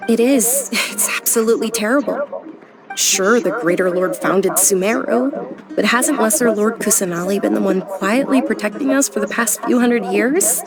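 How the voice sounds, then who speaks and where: A woman's voice: mid-range voice, normal speed, natural voice. A woman voicing a sickly, bookish young noblewoman in a video game, speaking earnestly. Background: game music.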